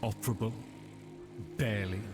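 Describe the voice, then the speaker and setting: An actor playing a formal, bitter construct left behind in a video game, haughty and halting, with a melancholy air. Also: male voice, on the low side, steady tone, natural voice. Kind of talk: scripted video game character acting. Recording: very loud music over voice.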